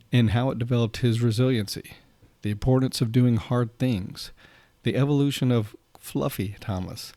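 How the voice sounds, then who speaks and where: A man's voice: mid-range voice, warm tone, no room sound. A man hosting a podcast, reading a scripted intro and then chatting with his guest in a friendly way with fillers. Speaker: podcast host.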